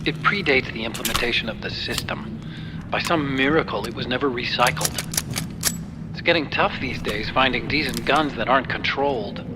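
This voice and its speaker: A man's voice: mid-range voice, moderate pace, very noisy, lightly acted. Male actor as helpful tech-whiz mission guide, video game cutscene, mid-range voice, earnest, friendly explanatory delivery. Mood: concerned, instructive. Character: earnest, helpful male tech-expert sidekick guiding a soldier.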